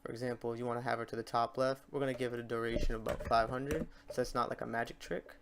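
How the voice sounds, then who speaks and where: Male tutorial host, medium pitch, informal and off the cuff, muttering his way through on-screen steps. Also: fairly even intonation, fast, creaky, background noise. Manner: informal, talks to himself while clicking through steps. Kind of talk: casual unscripted screen-recorded tutorial narration.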